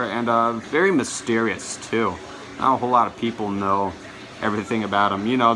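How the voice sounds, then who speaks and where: A man vlogging in a casual chat to his viewers, rambling and often stumbling over his words and correcting himself. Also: fairly low pitch. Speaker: vlogger showing his collection.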